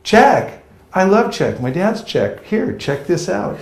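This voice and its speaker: A man's voice: sits high, sing-song, slow pace, noisy background. Guy from california teaching a seminar, jokey, acts stuff out, roomy echo. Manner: playful, jokey, acts out street encounters. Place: seminar room with a live audience. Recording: echoey room.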